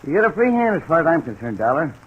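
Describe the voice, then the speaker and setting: An American man playing a gruff, matter-of-fact police detective in an old-time radio drama. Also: a little high, sing-song, racing, narrow, tinny audio. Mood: businesslike. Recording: old radio recording, faint crackly hiss.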